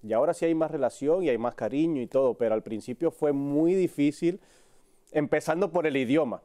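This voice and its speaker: A man's voice: a little high, brisk, dark tone. A man from Cuba with a Mexican accent, talking candidly as a guest in an interview. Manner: candid, speaks in short broken phrases. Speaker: sports reporter, interview guest. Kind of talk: casual interview conversation.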